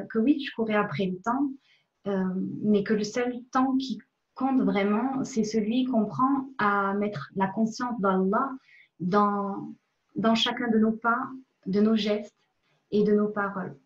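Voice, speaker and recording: Woman, personal testimony. Reflective and halting delivery, mid-pitched voice, slightly dull lo-fi sound. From France. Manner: reflective, hesitant with frequent pauses. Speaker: member of a religious community giving a testimony. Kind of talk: personal spiritual testimony, spoken to a recording.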